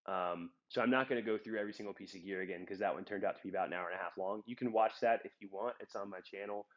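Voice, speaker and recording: A man's voice: fairly low pitch, very fast, lo-fi sound. A young man with a slightly stuffed-up voice, talking casually and rambling through his hiking gear with lots of ums, filmed on a phone. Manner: relaxed, rambling with many ums. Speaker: hiking vlogger. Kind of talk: casual gear talk to camera. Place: in a trail town. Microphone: phone on a trekking-pole clip.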